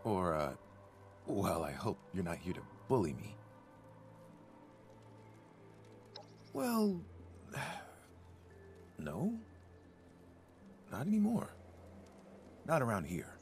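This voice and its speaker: A man playing a gentle, timid, nature-loving ghoul gardener in a video game, speaking mildly, hesitantly and earnestly. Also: low-pitched, very animated, slow, long pauses, soft background music, exaggerated voice. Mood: nervous. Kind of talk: video game character dialogue.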